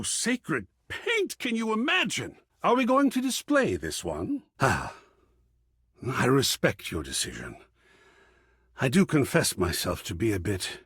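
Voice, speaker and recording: An actor playing an effusive, romantic living artwork in a video game, with florid, rhapsodic, theatrical delivery. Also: male voice, mid-range voice, gravelly, a bit bright, husky, dry recording, clean studio sound, heavily acted. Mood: elated, then wistful. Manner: florid, rhapsodic, savouring pauses, swooping dramatically. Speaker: voiced dateable character in a dating simulator game.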